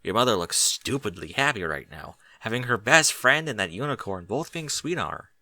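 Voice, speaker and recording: A man's voice: lowish voice, animated, normal speed, bright, forceful tone, no echo, exaggerated voice. A man voicing a doubtful, easily shocked young male pony in a story, hesitant and incredulous. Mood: astonished.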